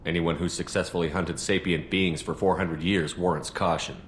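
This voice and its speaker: An actor voicing a steadfast military commander in a video game, speaking tersely and matter-of-factly with control. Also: male voice, sits low, steady tone, moderate pace, a little hiss or hum, natural voice. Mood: resolute. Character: steadfast military commander hero, adult man. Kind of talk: video game dialogue acting.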